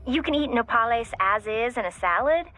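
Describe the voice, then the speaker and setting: A playful, chatty teammate talks in a bright, fairly high voice. She sounds enthusiastic and friendly, in video game style, with light music underneath. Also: lively intonation, a little fast, low-quality recording. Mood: friendly, teasing. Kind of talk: English video game voice acting.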